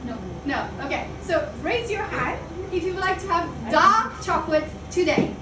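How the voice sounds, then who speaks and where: A woman from Italy, guiding a group through a chocolate-making workshop in a fast, chatty, upbeat way and correcting herself as she goes. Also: very high-pitched, animated. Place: chocolate factory workshop room. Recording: echoey distant mic with background noise.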